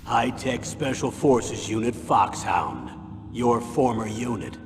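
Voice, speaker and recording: An actor playing an older, gruff military commander who briefs with formal, measured, grim authority in a video game cutscene. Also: male voice, very animated, music in the background. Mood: grave, measured. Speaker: commanding officer. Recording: boomy reverberant hall, loud background noise.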